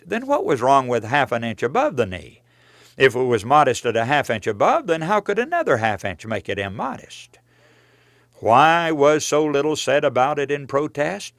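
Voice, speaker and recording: Animated male preacher, dry close sound. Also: medium pitch, dry recording. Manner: earnest, admonishing, with rhetorical questions. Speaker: preacher reading own religious book. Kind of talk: sermon-like narration of written text.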